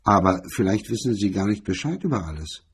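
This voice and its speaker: A cool, sardonic detective from a crime audiobook, put on by a male reader. His voice is very husky and a bit low, and he talks casually, with dry mockery. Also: very animated, fairly quick, exaggerated voice. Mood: mocking. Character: cool, sardonic male hardboiled investigator with a gun.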